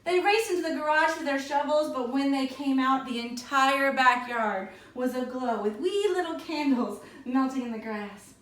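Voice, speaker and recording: A woman reading a storybook aloud to schoolchildren in an expressive narrating style. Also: high-pitched, moderate pace, few pauses. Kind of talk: expressive children's read-aloud. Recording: echoey room, distant microphone.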